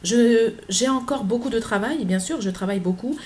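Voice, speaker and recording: Earnest woman, halting speech. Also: fairly high pitch, a little mellow, slight background noise. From Côte d'Ivoire. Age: middle-aged. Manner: earnest, hesitant, many filler pauses. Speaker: author. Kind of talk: personal vlog monologue.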